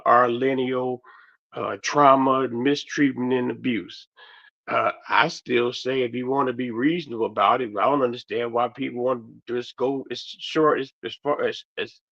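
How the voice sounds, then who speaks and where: A man who is losing his voice hosts a loose commentary monologue, his gravelly, worn tone drifting from one half-finished thought to the next. The sound is dull and lo-fi, like a home setup. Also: medium pitch. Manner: rambling, meandering, trails off mid-sentence. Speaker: commentary host.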